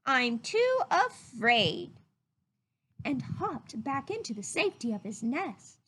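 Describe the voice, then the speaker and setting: Storybook mother raccoon played by a woman: soothing, patient, high and slow, melodic swings. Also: sing-song, a bit bright, breathy edge, heavily acted. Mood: sweet. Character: gentle, patient mother raccoon telling a tale. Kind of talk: storybook character voice.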